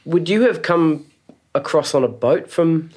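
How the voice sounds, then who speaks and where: A man's voice: fairly high pitch, measured pace, a little mellow. A male host running a casual interview, who encourages his guest with frequent short acknowledgements.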